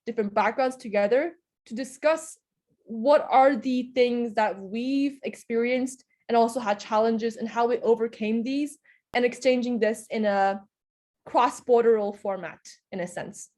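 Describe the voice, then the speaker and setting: A woman's voice: on the high side, unhurried, a little mellow. A young woman from Hungary answering interview questions in an earnest, explanatory way, often hedging with small qualifiers. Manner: earnest, explanatory, frequent "in a sense" hedges. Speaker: interview guest.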